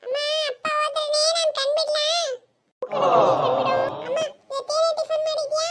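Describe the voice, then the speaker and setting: Casting: a silly young cartoon son and schoolboy, tiny very high voice, earnest and childlike. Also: level tone, normal speed, fairly bright tone. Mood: whiny. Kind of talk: comedy cartoon dialogue.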